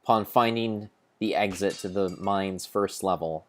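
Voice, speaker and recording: A low-pitched man narrates gameplay as if it were a grand epic tale. His delivery is theatrical and solemnly overblown, made up as he goes, with deliberate pauses and the odd corrected word. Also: soft background music. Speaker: narrator of a video game playthrough. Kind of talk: mock-epic dramatic storytelling, improvised.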